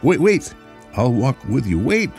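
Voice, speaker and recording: A man's voice: mid-range voice, sing-song, a little slow, gravelly, a little mellow, voice pushed up. A man voicing a nervous, pleading little man who stammers and hesitates, in a dramatic short story reading. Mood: anxious. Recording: music behind the voice.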